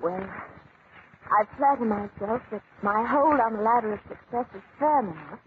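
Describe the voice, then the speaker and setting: An actress playing a modest young stage performer, polite and hesitant yet dignified and plucky, in old-time radio drama style. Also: female voice, a little high, animated, normal speed, lightly acted. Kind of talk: 1940s radio acting. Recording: tinny, noisy old-time radio recording.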